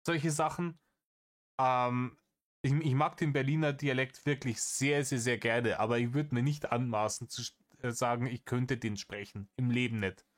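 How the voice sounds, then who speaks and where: A man's voice: mid-range voice, steady tone, normal speed. Sarcastic man commenting on clips. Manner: exasperated, sarcastic, swears freely. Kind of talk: satirical reaction commentary.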